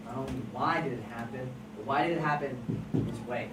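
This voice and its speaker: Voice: mid-range voice, a little fast, natural voice. An amateur actor at a table read playing a scheming, persuasive crime photographer with an intense, emphatic delivery. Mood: eager, excited. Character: scheming, persuasive crime photographer who stages fake scenes. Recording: distant, echoey, noisy room recording.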